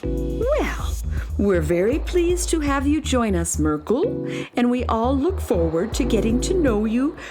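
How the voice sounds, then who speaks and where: Kindly schoolteacher, hugely swooping intonation, slow, patient, children's radio play, music behind. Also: female voice, fairly high pitch, big pitch swings. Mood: kindly, instructive. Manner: gently instructive. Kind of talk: children's audio drama acting.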